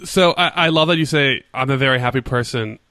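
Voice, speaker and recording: A man's voice: mid-range voice, fairly quick, pushed and bright, no echo. A man in a mid-range voice hosts a casual podcast, asking probing follow-up questions and sometimes stumbling over his words. The sound is dry and close, with no room around him. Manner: curious, asks follow-up questions, some stumbles. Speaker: podcast host, interviewer. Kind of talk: casual interview.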